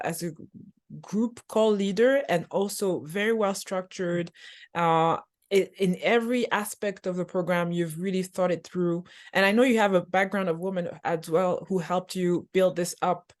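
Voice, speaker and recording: Soft-spoken woman podcast host, many fillers. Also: fairly low pitch. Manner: hesitant, many filler sounds. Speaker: podcast host, career coach. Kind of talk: podcast interview.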